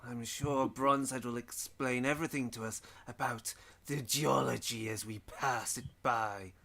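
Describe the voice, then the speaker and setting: A man's voice: mid-range voice, fairly even intonation, a little slow, vocal fry, pushed and bright, heavily acted. A man playing a weary, haughty adventurer in a tabletop game, speaking in a dry, sardonic and formal way. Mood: sardonic, impatient.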